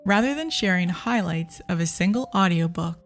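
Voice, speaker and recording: A woman's voice: fairly low pitch, lively intonation, moderate pace, gravelly, faint music behind. A woman hosting a review podcast, speaking in a scripted narration style. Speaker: podcast host and audiobook reviewer.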